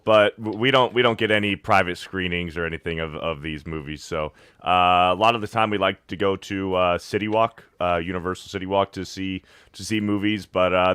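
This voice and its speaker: Deep-voiced male podcast guest, casual and halting, close dry sound. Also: smooth, dry recording. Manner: relaxed, halting with restarts. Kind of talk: casual chat.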